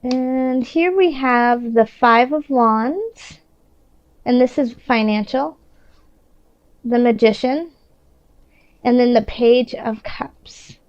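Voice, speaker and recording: A woman's voice: fairly high pitch, slow pace, long pauses, no room sound, lo-fi sound. A woman giving a casual, improvised tarot reading in a conversational, reassuring way, often restarting her thoughts. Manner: reassuring, encouraging. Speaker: tarot reader.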